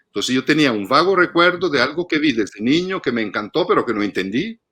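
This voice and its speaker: A man's voice: on the high side, animated, fast talker, pushed and bright, slightly rough. A man in his fifties from northern Italy, speaking reflectively in a casual interview and often repeating words as he thinks. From Padua region, northern Italy. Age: 50s. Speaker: guest, film critic and teacher.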